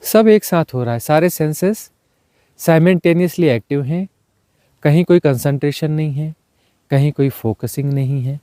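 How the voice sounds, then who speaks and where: A man leading a guided meditation as a teacher, speaking in unhurried, short, repeated phrases and mixing in some English words. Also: fairly high pitch, dark tone, no room sound. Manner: mixes English words into Hindi.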